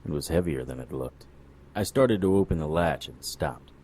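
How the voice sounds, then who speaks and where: Noir audio drama: jaded occult investigator, low-pitched, wry, hard-boiled and sardonic. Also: male voice, expressive, moderate pace, a little mellow. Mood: wry narrating. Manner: dry, wry, world-weary. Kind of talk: noir audio drama acting with first-person narration.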